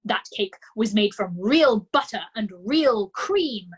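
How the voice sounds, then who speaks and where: Woman doing a big bossy headmistress, barking, yelling, loud and high, a bit breathy. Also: expressive, takes it slowly. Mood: furious. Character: tyrannical bullying female headmistress, booming.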